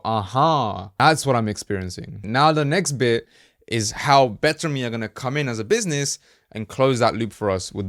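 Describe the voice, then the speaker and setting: A Spanish-native man from Colombia hosts a casual explainer, talking straight to the viewer in an easy conversational way. He drops into quick mock-voiced asides now and then. His voice sits at a middle pitch and the sound is dry and close, with no room echo. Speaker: host, ad creative marketer. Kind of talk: casual explainer talk to camera.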